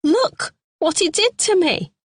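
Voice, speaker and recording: A woman voicing a distraught, battered woman who pleads through tears in a high voice. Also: expressive, slow, dry recording, studio quality, exaggerated voice. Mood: tearful.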